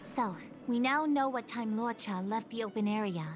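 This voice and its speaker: An actress playing a polite, businesslike informant in a video game, composed and formal. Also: female voice, a little high, moderate pace, music in the background, natural voice.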